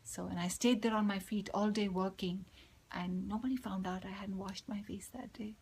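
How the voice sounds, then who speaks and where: A woman's voice: medium pitch, steady tone, normal speed, gravelly, darkish tone, a little hiss or hum. A middle-aged woman with a Pakistani accent from Lahore, telling a personal story reflectively and with many hesitant fillers, often dropping to a whisper.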